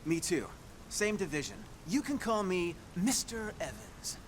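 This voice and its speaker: A cheeky young male soldier in a video game. His voice is a bit high and very animated but unhurried, sarcastic and joking over a noisy background. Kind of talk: video game cutscene dialogue. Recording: game audio over rumbling background noise.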